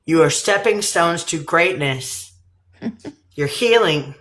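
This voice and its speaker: Texan woman host, lowish lively voice, loose and profane. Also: takes it slowly. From Texas. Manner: chatty, swearing, teasing, laughing. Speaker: livestream host. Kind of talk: casual live chat.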